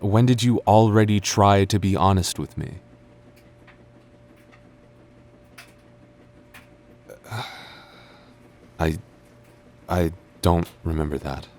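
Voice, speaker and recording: A deep-voiced young man, played by a male voice actor in an intimate audio roleplay. He is a defensive, teasing childhood friend hiding his affection. His delivery is halting and stammering, quick to bicker yet secretly smitten. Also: darkish tone, natural voice. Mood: agitated, pleading. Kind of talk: intimate boyfriend ASMR roleplay acting.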